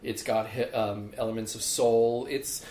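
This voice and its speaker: A man talking in a relaxed, measured way with many fillers and restarts, as a musician guest in an interview. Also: medium pitch. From born in South Africa, raised in Philadelphia. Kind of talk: casual interview talk. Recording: echoey room with faint background noise.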